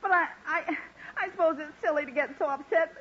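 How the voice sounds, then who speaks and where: A woman's voice: very high voice, fairly quick. An actress playing a fussy, scolding middle-aged wife and mother, fretful and dramatic, in a 1940s radio comedy. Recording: noisy, muffled old 1940s radio recording.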